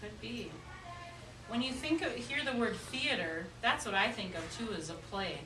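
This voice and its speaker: Female primary teacher, patient question-and-answer delivery, raised pitch, roomy distant classroom sound. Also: moderate pace. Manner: patient, repeats pupils' answers back. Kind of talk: classroom lesson, questioning young children. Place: classroom with children. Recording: echoey classroom, distant mic, noisy.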